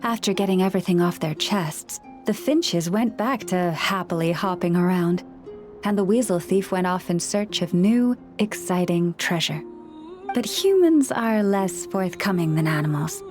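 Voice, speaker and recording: A woman voicing a gentle young Moon Chanter in a video game, speaking in a calm, measured, earnest way as a caring counselor to people and animals. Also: fairly low pitch, soft background music, lightly acted.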